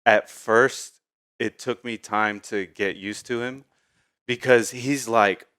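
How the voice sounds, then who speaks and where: A man's voice: medium pitch, steady tone, slow pace, a bit bright, smooth. A man co-hosting a movie review, speaking casually with another host. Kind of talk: casual chat, film review.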